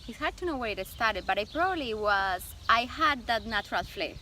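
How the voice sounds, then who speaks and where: A woman in her forties from Colombia, speaking English with a Colombian Spanish accent, whose first language is Spanish. She is a guest talking warmly about her past, with frequent laughs and thoughtful pauses. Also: a little high, expressive, a little hiss or hum. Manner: warm, laughs often, thoughtful pauses with fillers. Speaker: guest, image consultant. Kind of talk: casual interview.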